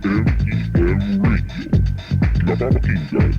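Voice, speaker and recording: A man's voice: sits low, takes it slowly, vocal fry. A man delivering scripted station identifications as a radio announcer, recorded from the radio onto cassette. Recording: dull radio cassette, loud music.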